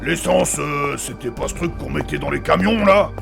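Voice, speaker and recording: A man plays a crude, bored fighter with a heavily fried, gravelly voice. He speaks in blunt deadpan, slightly high for a man, in a comedy fantasy audio drama with faint music behind. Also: normal speed. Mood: mocking, deadpan. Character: crude, grumpy male fighter, bored by riddles.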